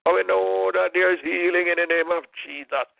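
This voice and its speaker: A man's voice: sits high, fairly even intonation, normal speed, creaky, dry recording. A man leading a fervent intercessory prayer, impassioned, repeating "oh God" and "in the name of Jesus". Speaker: prayer leader. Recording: tinny phone-line prayer call.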